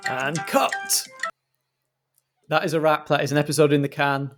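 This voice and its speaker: A man's voice: fairly high pitch, flat delivery, normal speed, music in the background. A man narrating an improvised comedy roleplay as game master.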